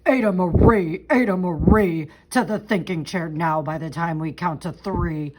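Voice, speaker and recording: A woman voicing exasperated parents in a children's storybook, scolding with stern, loud, emphatic commands. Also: on the low side, measured pace. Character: exasperated, scolding mother and father of a curious girl.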